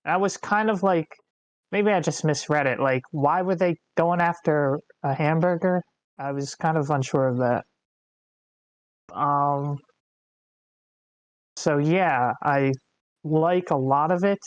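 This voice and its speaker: A woman's voice: deep voice, takes it slowly, pauses often, no echo, poor audio. A woman giving casual, tentative, rambling feedback in a group discussion. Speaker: writing group member giving script feedback. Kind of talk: casual chat.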